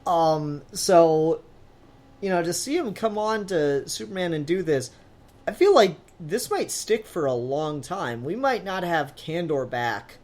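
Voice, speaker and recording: Podcast host just chatting comics, kinda rambly n informal, swears a bit, mid pitch voice. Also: animated, unhurried, a little mellow. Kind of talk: casual comic book discussion.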